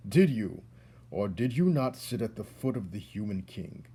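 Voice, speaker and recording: A man's voice: lowish voice, very animated, moderate pace, warm tone, husky, heavily acted. A man voicing a stern, pompous old head mouse who declaims formally and indignantly in a storybook. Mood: solemn, formal.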